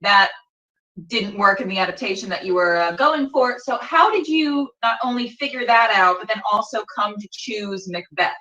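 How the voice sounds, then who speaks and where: A woman's voice: mid-range voice, lively intonation, moderate pace, bright, forceful tone, lo-fi sound. A woman hosting a podcast interview in a casual, chatty way. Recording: echoey, distant from the microphone.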